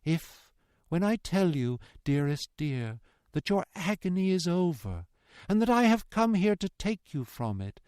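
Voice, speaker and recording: A man voicing a gentle young daughter in an audiobook, tender and pleading with impassioned, repeated cadences. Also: sits high, sing-song, a little slow, clean tone, no room sound, clean studio sound, voice pushed up. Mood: impassioned, devoted. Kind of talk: dramatic audiobook character reading.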